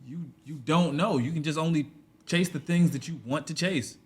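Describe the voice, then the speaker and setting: A man's voice: fairly high pitch, normal speed. A man in his twenties, a podcast guest, talking loosely and rambling in a casual conversation. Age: 20s. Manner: loose and rambling, full of "you know what I'm saying".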